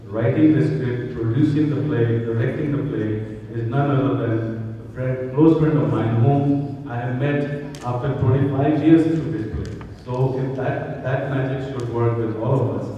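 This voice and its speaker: An older man from the Indian subcontinent gives a formal welcome speech as event host, speaking in deliberate, emphatic phrases with pauses. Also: medium pitch, steady tone, mellow. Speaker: event host introducing a play. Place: theater stage, recorded from far off. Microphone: handheld mic through speakers, far camera. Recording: echoey, muffled, noisy hall from far off.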